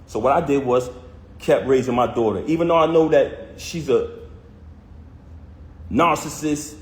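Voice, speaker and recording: A man's voice: medium pitch, unhurried. A man telling viewers a personal story in casual, heated first person, emphatic and full of street slang. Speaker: vlogger recounting a personal conflict. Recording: roomy echo.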